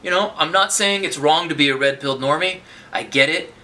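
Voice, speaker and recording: A man's voice: fairly high pitch, a little fast, pushed and bright. A man delivering a rambling, unscripted political monologue to his viewers, often restarting his sentences and swearing in bursts. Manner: rambling, with many restarts and profane outbursts. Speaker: vlogger.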